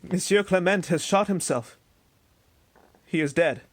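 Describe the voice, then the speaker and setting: Dutiful period manservant male actor, high register, very dry sound, obedient formal delivery. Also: unhurried, no echo. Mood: urgent, grave. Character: dutiful, deferential servant of a Duke. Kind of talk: stage-play audio drama acting.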